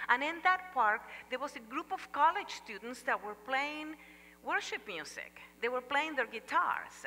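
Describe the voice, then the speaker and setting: Brief: older woman, Argentine Spanish accent, lively chapel speaker, bright and somewhat high, long hall reverb. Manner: lively, animated gestures, humorous asides. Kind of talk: chapel talk with storytelling. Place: large church sanctuary stage.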